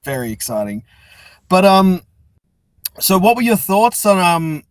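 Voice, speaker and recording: A man's voice: sits high, very animated, unhurried, no room sound. A man hosting a casual chat-style interview, talking with effusive enthusiasm. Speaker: host interviewing a guest.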